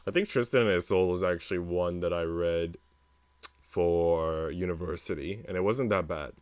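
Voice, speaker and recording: A man's voice: low-pitched, normal speed, darkish tone, clear, resonant tone, no echo. A man chatting informally as a streamer, in a casual, conversational way. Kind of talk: casual livestream chat.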